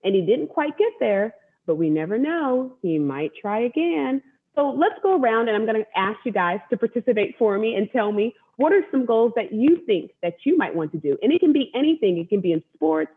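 Animated woman hosting children's story time. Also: a little high, a little fast, husky. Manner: encouraging, teacherly. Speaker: author and event host. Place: room at home, indoors. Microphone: video call, wireless earbud. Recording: dull video-call audio.

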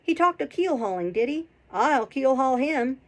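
A woman's voice: a little high, lively intonation, a little fast, breathy edge. A woman voicing a jovial, cunning, blustering one-legged sailor landlord, with hearty, emphatic talk, in a storybook reading. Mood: excited, blustering.

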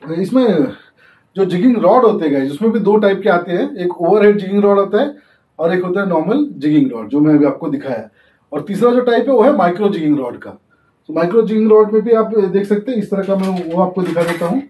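A man's voice: high voice, animated, fairly quick, mellow. A young man hosting a fishing channel, giving a casual tutorial and explaining as he demonstrates, often slipping English terms into his talk. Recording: echoey, distant from the mic.

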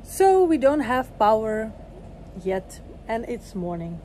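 A woman's voice: fairly high pitch, takes it slowly, very noisy. A young woman hosting a vlog. She talks casually and playfully to a phone held at arm's length in a small boat cabin, with English that is not her first language. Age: young adult. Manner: lively, playful, talks to camera. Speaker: vlog host.

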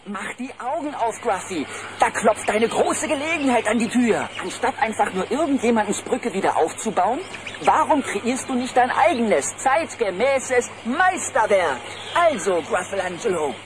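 A flamboyant, artistic bear, teases through a cartoon audio play. The delivery is highly animated, with clear noise from an old cassette. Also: male voice, moderate pace. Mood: enthusiastic. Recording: noisy old cassette transfer.

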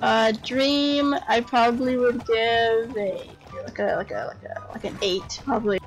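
A young woman chats on a voice call, her voice sitting a little high. She talks loosely, with plenty of fillers and giggles. Faint game music plays underneath. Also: steady tone, unhurried, rough recording. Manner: chatty, giggly, trailing fillers. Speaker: channel host rating horses. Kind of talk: casual game chat.

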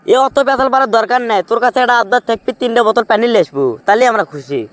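A man's voice: very high-pitched, expressive, rapid-fire, fairly bright tone. A man voicing a pushy, crude young friend with a Bogura Bangladeshi accent, brash and taunting in a comic cartoon.

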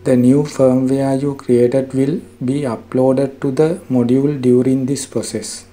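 A man narrating a scripted software tutorial in a formal, measured, step-by-step way. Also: mid-range voice, little pitch movement. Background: background music.

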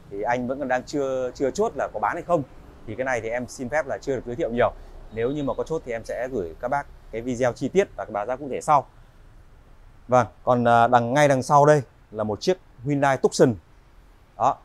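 A man in his thirties walks through a big indoor car showroom, pitching used cars to viewers. He talks in a polite, chatty sales patter at a middle pitch, stopping often on little filler sounds before carrying on. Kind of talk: walk-around sales presentation.

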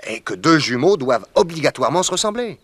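An actor playing a calm detective explaining his reasoning in a French radio drama. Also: on the high side, quick pace, slightly rough, no echo.

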